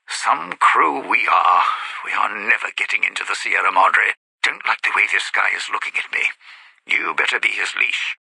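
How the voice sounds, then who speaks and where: An actor playing a cynical, scheming ghoul lounge singer and smug con man in a video game, with a raspy, gravelly voice and wry, muttering showman's delivery. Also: mid-range voice, sharp tone, no echo, exaggerated voice, poor audio. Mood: scornful, irritated. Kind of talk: video game character voice lines.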